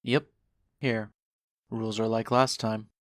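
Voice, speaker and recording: A man's voice: fairly low pitch, flat delivery, slow pace, fairly bright tone, no echo. A man playing a sly, laid-back skeleton hustler with a casual, unbothered way of talking in a fan audio drama. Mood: casual hustling.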